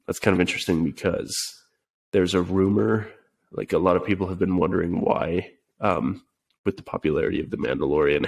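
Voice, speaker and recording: A man's voice: sits low, creaky. A man who is sick and sniffling, chatting casually as podcast co-host with lots of hesitant fillers. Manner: relaxed, hesitant with many fillers. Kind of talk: casual chat.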